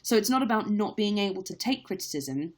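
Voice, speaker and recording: A woman's voice: medium pitch, lively intonation, brisk. A young woman who acts on stage, chatting candidly in a live-stream Q&A with lots of fillers. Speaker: stage actress livestreaming a Q&A. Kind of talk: casual live-stream chat.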